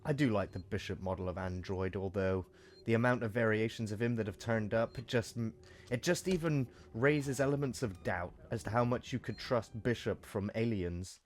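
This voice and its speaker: A man with a croaky voice from a cold, chatting through gameplay commentary with joking asides. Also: lowish voice, normal speed, slight background noise. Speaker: let's play host.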